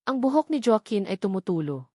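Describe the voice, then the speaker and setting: A woman's voice: mid-range voice, big pitch swings, fairly quick, dark tone, dry recording, studio quality. Woman narrating a dramatic love story. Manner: emotive storytelling.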